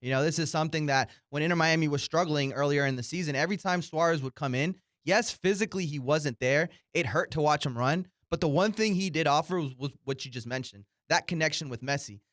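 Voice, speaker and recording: A man's voice: fairly high pitch, fast, hardly any pauses, a bit bright, no room sound, clean studio sound. A man giving casual, conversational soccer analysis as a co-host, explaining his points.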